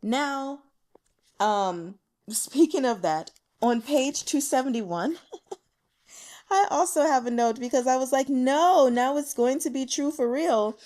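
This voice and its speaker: A woman hosting a book vlog, giving casual, chatty commentary from her notes in an animated, slangy way with giggly asides. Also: high voice, takes it slowly.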